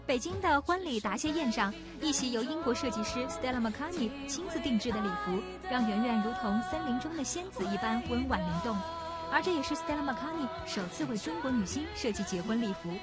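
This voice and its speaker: A woman's voice: high voice, expressive, fairly quick. A woman reporter reading scripted entertainment news narration. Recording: loud music under voice. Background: loud music.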